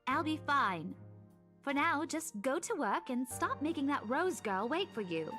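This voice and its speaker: A woman's voice: sits high, big pitch swings, a little slow, a bit bright, soft background music, exaggerated voice. A woman playing a stubborn, playful young woman in a visual novel, teasing and breezy. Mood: reassuring, teasing. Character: stubborn, playful young woman who teases her friend.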